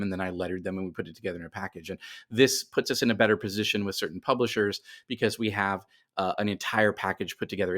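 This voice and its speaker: A man's voice: fairly low pitch, fairly bright tone. A man speaking as a writer guest in a casual podcast interview, explaining things and thinking aloud as he corrects himself.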